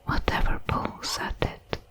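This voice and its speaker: A woman voicing a detached, curious alien creature in a sci-fi ASMR roleplay, whispering in slow, deliberate, clinical phrases. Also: fairly bright tone, natural voice. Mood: curious, measured. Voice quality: breathy whisper.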